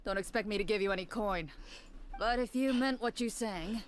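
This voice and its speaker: A woman's voice: mid-range voice, moderate pace, a little hiss or hum, natural voice. An actress voicing a grumpy, dismissive male merchant in a fantasy game, brusque and scornful. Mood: gruff grumbling.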